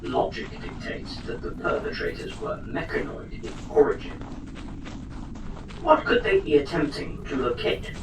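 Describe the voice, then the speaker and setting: A man's voice: fairly high pitch, wildly swooping pitch, measured pace, roomy sound, distant mic, noisy background. A man playing a logical android soldier in an audio drama, stilted, formal and literal in his questioning. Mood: formal, inquiring.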